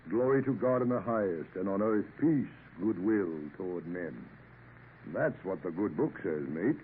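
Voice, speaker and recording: An actor playing a big, pious, gruff and bossy sea captain with a commanding manner in a 1950s radio drama. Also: male voice, on the low side, expressive, unhurried. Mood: reverent. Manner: commanding, blustery, hems before speaking. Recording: tinny, hissy old radio recording.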